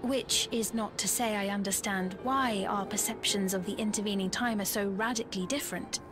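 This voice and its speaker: An actress playing a gracious, composed queen in a video game, speaking in a measured, formal manner. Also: medium pitch, fairly even intonation, lightly acted. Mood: calm, explanatory. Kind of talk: scripted video game cutscene acting. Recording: orchestral game music behind.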